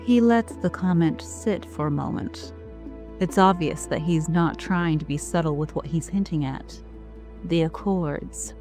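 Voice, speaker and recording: A woman narrates an audio drama in a low voice. Her delivery is slow and measured, like scripted storytelling, with some music underneath. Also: a little mellow.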